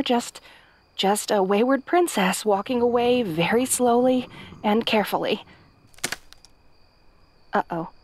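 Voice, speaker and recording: A woman's voice: a little high, a little hiss or hum. A woman playing a kind, hapless young princess in a fantasy audio roleplay, talking to the listener in a nervous, stammering, chatty way. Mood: frightened, pleading. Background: night sounds with crickets.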